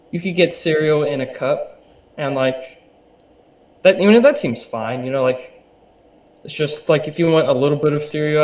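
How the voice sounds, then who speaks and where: Young guy talking on a podcast, kinda high voice, stumbles and restarts a lot, gets hyped. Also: rough recording. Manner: hesitant, many fillers and restarts, eager. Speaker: podcast guest. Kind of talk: casual interview chat.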